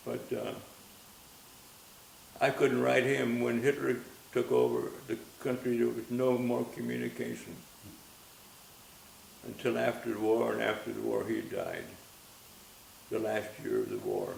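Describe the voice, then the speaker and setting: A man in his nineties who grew up in Austria tells his story in a gentle, halting way, going back over his words. His voice is heavily gravelly with fry. The room rings with echo, and a faint hiss sits under the recording. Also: mid-range voice. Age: 90s. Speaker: interviewee. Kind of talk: reminiscing interview.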